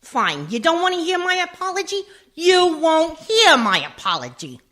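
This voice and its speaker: Casting: actress for mouthy mob wife, high and animated voice, sarcastic and blunt, radio comedy. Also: female voice, normal speed, full character voice. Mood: sarcastic, scolding. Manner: scolding, blunt. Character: brash, sarcastic mobster's wife and mother. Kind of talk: comedic radio play acting.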